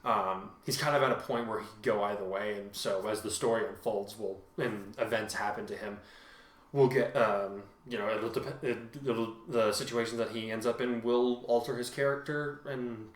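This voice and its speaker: A man chatting casually as a podcast host, thinking aloud in a halting, informal way. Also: on the low side, steady tone, roomy sound. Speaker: podcast host and fan fiction writer. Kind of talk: casual chat.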